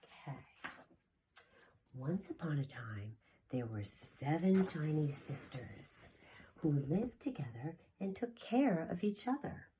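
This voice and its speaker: A woman preschool teacher reading a story aloud to young children, expressive and deliberate, with a breathy voice that often drops toward a whisper. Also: deep voice, noisy background. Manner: addresses children directly, expressive with gasps and sound effects.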